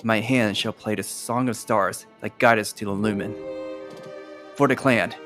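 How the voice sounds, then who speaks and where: A man's voice: fairly low pitch, fairly even intonation, unhurried, fairly bright tone. A man playing an earnest, self-doubting young heir in a drama, speaking in a subdued, sincere manner. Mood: solemn vow. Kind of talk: dramatic game-script acting. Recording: music behind the voice.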